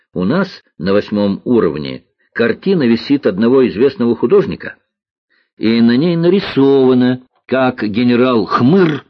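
A man's voice: mid-range voice, slightly rough, no echo, highs cut off, voice pushed up. A man voicing a plump, kindly but dogmatic army cook woman in a children's audiobook, earnest and given to sighs and slogans. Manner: sighing, earnest, parroting slogans. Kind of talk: audiobook character voice, children's science fiction.

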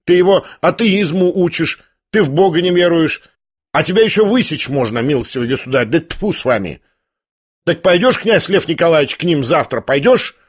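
A man's voice: a little high, expressive, moderate pace, husky, pitched above the performer's own voice. A man reading an audiobook, voicing an older noblewoman who scolds and lashes out in indignant outbursts. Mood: indignant scolding.

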